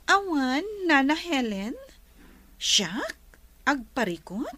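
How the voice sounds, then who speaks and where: A woman playing a high, bossy resort madam. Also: very animated, slow, bright, forceful tone, dry recording, soft background music, full character voice. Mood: troubled. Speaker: resort owner. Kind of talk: radio drama acting.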